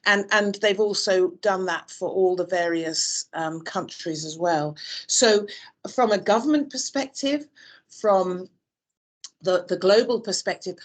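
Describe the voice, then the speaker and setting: A woman's voice: medium pitch, unhurried. A woman from the UK answering interview questions on a video call, thoughtful, with many fillers and restarts. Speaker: interview guest. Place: Dubai.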